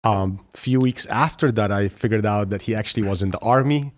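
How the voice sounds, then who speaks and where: A young man hosting a podcast interview in a casual, friendly way, laughing easily, on a boom mic in a studio. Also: brisk. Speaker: podcast host. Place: studio room with plants. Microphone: boom mic with headphones.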